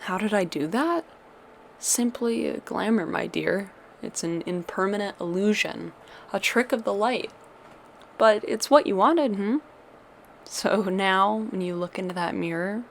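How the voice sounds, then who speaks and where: A woman's voice: medium pitch, gravelly, slight background noise. A woman playing a tender, doting witch girlfriend who soothes a monster lover with old-fashioned endearments in an ASMR audio roleplay.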